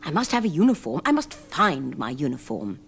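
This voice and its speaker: A woman's voice: low voice, sing-song, normal speed, gravelly, voice pushed down, heavily acted. A woman voicing a proud, wistful, forgotten old male teddy bear from a storybook, in a dramatic, plaintive manner. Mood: anxious. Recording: noisy, humming 1970s television recording.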